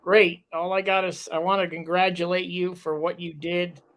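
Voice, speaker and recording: A man's voice: sits high, little pitch movement, normal speed, a bit bright. A man hosting a local talk show, chatting casually with a guest and rambling with lots of fillers.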